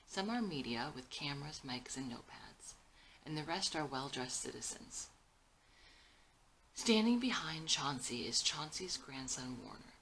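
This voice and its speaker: A woman's voice: deep voice, expressive, a little slow, fairly bright tone, rough recording. A woman reading her own script aloud as scripted narration, with scene headings and stage directions.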